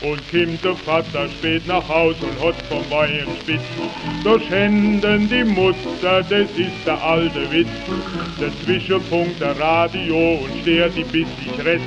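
A man's voice: on the high side, fairly even intonation, takes it slowly. A man singing a humorous song with a jaunty delivery on a 1930s recording. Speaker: singer. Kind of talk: comic song on an old record. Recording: dull 1930s record with loud music.

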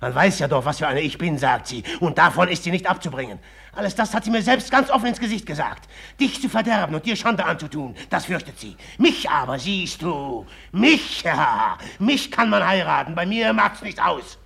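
An actor playing a brooding, bitter, obsessively jealous suitor in a German radio play, speaking haltingly with a rough edge. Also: male voice, a little high, very animated, bright, forceful tone, heavily acted. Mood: bitter, agitated. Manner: halting and brooding, breaking into taunting outbursts.

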